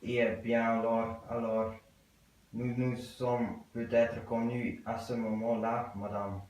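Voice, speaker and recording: A man playing a stiff, courteous middle-aged English gentleman in an absurd stage play, with formal, ceremonious and repetitive speech. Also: medium pitch, little pitch movement, slow pace, close to an everyday voice. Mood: ceremoniously curious. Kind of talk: theatre acting of an absurd play. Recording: very echoey room, voice from far off.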